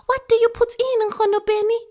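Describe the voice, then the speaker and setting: A very high-pitched, eager little girl. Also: steady tone, a little fast, darkish tone. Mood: impatient, begging. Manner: pleading, insistent questioning. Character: eager, impatient young granddaughter, a little girl. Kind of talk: storybook character voice.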